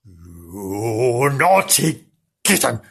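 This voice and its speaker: A reader playing a scolding, slow-spoken sensible dog. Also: mid-range voice, very animated, gravelly, a bit bright, husky, voice pushed down, heavily acted. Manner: scolding, matter-of-fact. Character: bossy, sensible older dog, exasperated with a kitten. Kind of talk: children's storybook character voice.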